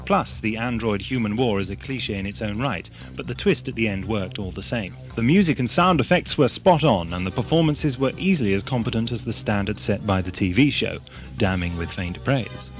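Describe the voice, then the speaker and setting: A man's voice: fairly low pitch, lively intonation, a little fast, faint music behind. A man reading scripted letters aloud on a magazine programme. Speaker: reader of listeners' letters.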